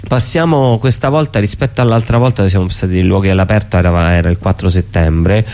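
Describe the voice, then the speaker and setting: A man with a very deep voice talks as an artist invited to present his work. He explains it informally, correcting himself and pausing to find the next phrase. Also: a little mellow. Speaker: performance artist, interview guest. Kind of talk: informal spoken presentation of own show. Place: radio studio.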